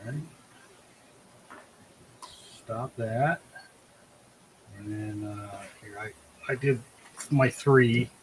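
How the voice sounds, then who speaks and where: A man's voice: on the low side, steady tone, takes it slowly, poor audio. A man hosting an online drawing show, chatting casually as he shows artwork. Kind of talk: casual chat while showing artwork. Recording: clear background noise.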